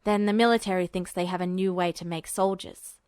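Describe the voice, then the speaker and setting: A woman's voice: lowish voice, steady tone, brisk, darkish tone, clean tone, no room sound, clean studio sound, pitched below the performer's own voice, close to an everyday voice. A woman voicing a laid-back, blunt teenage boy who muses aloud in a story reading. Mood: grave. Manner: casual, musing.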